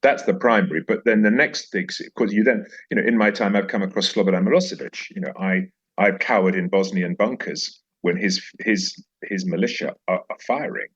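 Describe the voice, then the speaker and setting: A man's voice: deep voice, fairly quick, fairly bright tone, slightly rough, dull audio. A man speaking reflectively as an interview guest, emphatic and repeating phrases for effect. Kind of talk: reflective interview conversation with recited verse.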